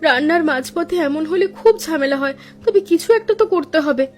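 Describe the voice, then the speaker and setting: Cartoon role: a woman playing a brisk young daughter-in-law, high and quick, over soft music. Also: lively intonation. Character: young daughter-in-law, elder sister-in-law, practical cook.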